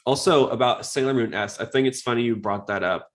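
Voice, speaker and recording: A man's voice: mid-range voice, brisk. A man hosting a podcast, talking casually about anime in a conversational way. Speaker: podcast host.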